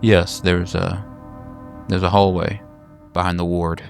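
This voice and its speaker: A man voicing an unassuming, eerily troubled middle-aged patient in a tabletop game, speaking in a measured, pausing way. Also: low-pitched, little pitch movement, gravelly, soft background music. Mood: eerie, subdued.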